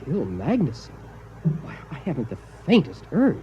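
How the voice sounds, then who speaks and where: A very high-voiced, mild madman in an old radio play. His delivery is measured and courteous yet theatrical, with obsession underneath, over faint vintage broadcast noise. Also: wildly swooping pitch, dark tone, dull audio. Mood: polite, calm. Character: mild-mannered man hiding gleeful, obsessive vengeful madness. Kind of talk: 1950s radio acting.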